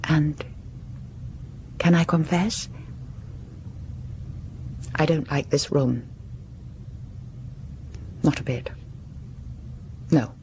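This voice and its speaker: An actress playing an anxious, unwell doctor's wife in a gothic audio drama, confiding hesitantly and sometimes sinking toward a whisper. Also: female voice, low voice, very slow, pauses often, darkish tone, a little hiss or hum, heavily acted. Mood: uneasy. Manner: hesitant, confiding, brooding, drifting into near-whispers.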